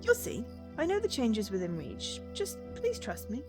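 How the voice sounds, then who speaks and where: A woman's voice: on the high side, huge pitch swings, moderate pace, music in the background. A woman voicing an earnest, determined young woman in a storybook game, read as dramatic dialogue.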